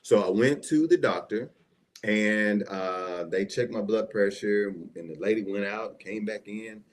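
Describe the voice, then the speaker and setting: Low-voiced Southern storytelling man. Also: measured pace. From Mississippi, United States. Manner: folksy storytelling with rhetorical check-ins. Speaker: assistant pastor, interview guest. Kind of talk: conversational personal testimony to an audience.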